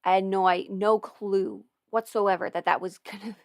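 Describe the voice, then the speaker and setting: A woman's voice: mid-range voice, steady tone, normal speed, no echo. Chatty woman with a dry close sound. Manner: chatty, rambling, laughs often, swears casually. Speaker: audio performer answering viewer questions. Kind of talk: casual livestream chat.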